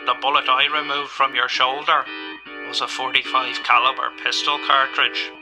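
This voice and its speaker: A man playing a calm, formal doctor in a radio thriller, measured and matter-of-fact. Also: medium pitch, over music, natural voice, rough recording. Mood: ominous.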